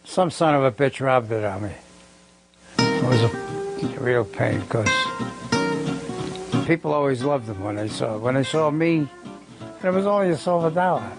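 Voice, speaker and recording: A man's voice: medium pitch, lively intonation, normal speed, pauses often, low-quality recording. An older man being interviewed, telling anecdotes in a plain-spoken, salty, conversational way. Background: guitar music.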